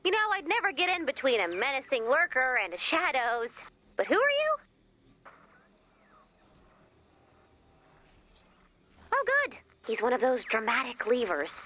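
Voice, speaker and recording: A woman's voice: sits high, big pitch swings, brisk, sharp tone, muffled recording, slight background noise, full character voice. A woman playing a wisecracking, eager, sarcastic female robot helper in a video game, quippy and playful with rambling asides. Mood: teasing. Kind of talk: scripted video game character dialogue.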